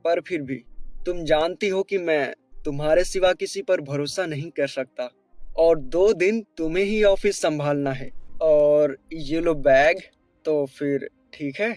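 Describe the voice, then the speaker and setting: A man voicing a courteous, sincere, lovelorn young boss in a Hindi animated melodrama. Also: fairly high pitch, unhurried, no echo, lightly acted. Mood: businesslike. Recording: music bed behind the voice.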